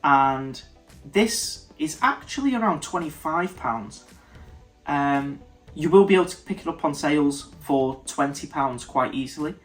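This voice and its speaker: Британец с заложенным носом. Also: male voice, a little high, lively intonation, measured pace, soft background music. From United Kingdom. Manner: thoughtful, stops and restarts mid-sentence. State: nasal, congested. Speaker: whisky reviewer. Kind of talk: casual tasting review to camera.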